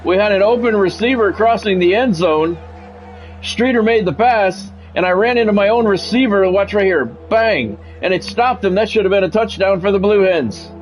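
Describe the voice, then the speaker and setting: A man's voice: high-pitched, moderate pace, faint music behind, rough recording. A middle-aged man doing live football game commentary as a streamer, with a practiced sportscaster delivery and casual asides. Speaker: video game streamer and play-by-play announcer.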